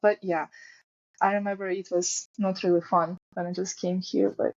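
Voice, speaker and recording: Young woman, native Ukrainian, mellow voice, flat delivery, dull recording, careful phrasing. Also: lowish voice, moderate pace, no echo. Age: young adult. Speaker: college volleyball player. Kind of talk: casual interview answers.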